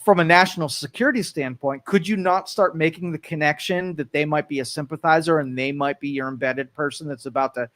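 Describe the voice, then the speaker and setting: A man co-hosting a casual political talk show, animated and quick to act out what others might say. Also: fairly high pitch. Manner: animated, acts out quoted voices.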